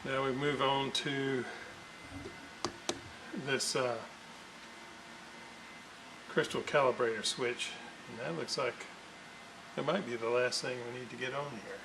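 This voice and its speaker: A man talks through a hands-on repair at a very slow pace, pausing and thinking aloud as he goes. His voice sits at a middling pitch. The recording carries clear background noise. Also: fairly even intonation. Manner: halting, thinking aloud while working. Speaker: hobbyist restorer presenting a repair video. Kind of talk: unscripted hands-on workbench demonstration.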